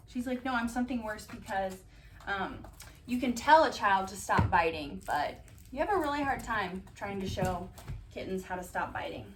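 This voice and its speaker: A woman doing a casual ASMR-style storytime, reading aloud and drifting into dry, deadpan asides. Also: mid-range voice, normal speed. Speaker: cat vlogger reading bedtime picture books aloud. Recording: distant mic, roomy background noise.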